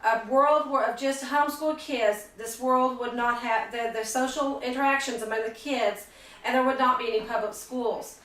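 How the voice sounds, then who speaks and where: A woman giving a persuasive speech for a class, earnest and halting, often restarting her sentences. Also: a little high, fairly even intonation, a bit bright. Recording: echoey room, mic far off.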